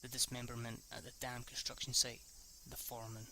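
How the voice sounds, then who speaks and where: A young man reads a visual novel aloud in a casual, unpolished way, often tripping over words and restarting sentences. His voice sits at a middle pitch. Insects and crickets chirp clearly in the background of his homemade recording. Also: completely flat delivery, bright, forceful tone, slight background noise. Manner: reads haltingly, restarts lines and swears at slips. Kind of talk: casual let's-read narration.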